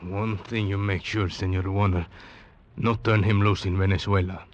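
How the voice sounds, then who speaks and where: A man acts out a superstitious Venezuelan snake catcher in an old radio play. His voice is lowish, with a Spanish accent and broken English, full of slow, fearful warning. The vintage recording is dull and limited. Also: little pitch movement, lightly acted. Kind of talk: 1940s radio acting.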